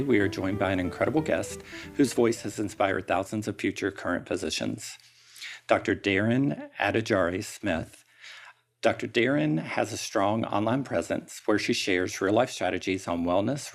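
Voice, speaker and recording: A man hosting a podcast, reading a measured, upbeat introduction and then asking interview questions. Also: on the low side, soft background music. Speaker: podcast host.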